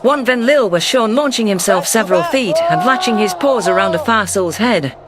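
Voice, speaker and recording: A man's voice: high-pitched, lively intonation, normal speed. A man reading a scripted first-person story as its narrator, in an audio drama. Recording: music bed under voice.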